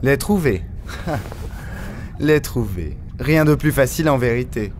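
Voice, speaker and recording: An actor playing a haughty, eccentric master of an undersea dome in an audio drama, pompous and scornful. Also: male voice, medium pitch, animated, slow, darkish tone, slight background noise. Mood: grandiose. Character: haughty eccentric owner of an undersea dome, fervent creature-lover. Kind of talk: dramatic audio fiction acting.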